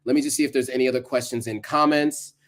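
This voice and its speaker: A man hosting a live stream, talking through a presentation and answering viewer comments in a casual, halting way with many ums. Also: mid-range voice, steady tone, fairly bright tone. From United States. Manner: conversational, many ums and restarts. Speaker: livestream host.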